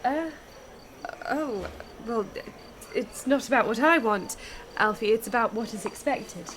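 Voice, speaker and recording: A woman's voice: a little high, lively intonation, a little slow, a bit bright, slight background noise. A woman playing a shy but spirited, well-bred young lady in a period radio drama, with hesitant, proper diction. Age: young adult.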